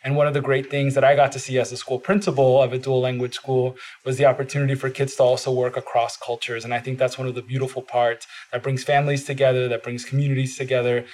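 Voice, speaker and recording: Flat-toned man, earnest interview guest. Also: medium pitch, quick pace, few pauses. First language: Spanish. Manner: earnest, steady, frequent "uh" fillers. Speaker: podcast guest, bilingual education advocate. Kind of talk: casual interview talk. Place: studio.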